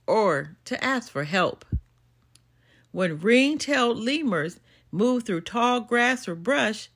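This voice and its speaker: An older woman reading a children's nonfiction book aloud as a storytime host, in an expressive, deliberate way. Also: fairly high pitch. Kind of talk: storybook read-aloud narration.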